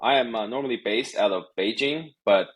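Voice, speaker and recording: A man's voice: mid-range voice, fairly quick, fairly bright tone, husky. A man from Canada, probably in his thirties, speaking casually as an interview guest with frequent fillers and restarts. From Canada (Calgary). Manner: hesitant, many fillers and restarts. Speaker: guest, company executive. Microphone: remote recording.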